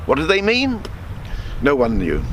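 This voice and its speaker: A man reading his own written story aloud in a measured storytelling manner, with small stumbles and self-corrections. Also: on the high side, huge pitch swings, fairly bright tone, slight background noise.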